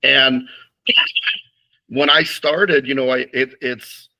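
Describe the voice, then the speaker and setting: A man with a very bright, forward voice speaks as an interview guest. He is a priest, and he talks frankly and haltingly about his early years, often restarting his sentences. His pitch sits in an ordinary middle range. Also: fairly even intonation, vocal fry, poor audio. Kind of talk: casual interview conversation.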